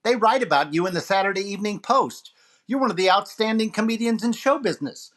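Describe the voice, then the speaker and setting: Radio variety host, played by a man, wisecracking and theatrical, very lively intonation. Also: high-pitched, fast talker. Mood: incredulous. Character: wisecracking male comedian hosting a radio variety show. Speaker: radio show host and comedian. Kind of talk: 1940s radio comedy recreation.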